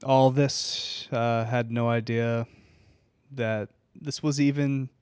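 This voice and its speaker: A man with a smooth, clean voice, recorded close and dry with no room sound. He co-hosts a casual podcast and throws out blunt, crude, opinionated jokes in easy banter. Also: medium pitch, steady tone, slow pace, darkish tone, no echo. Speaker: podcast co-host reacting to the story. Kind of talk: casual comedic podcast chat.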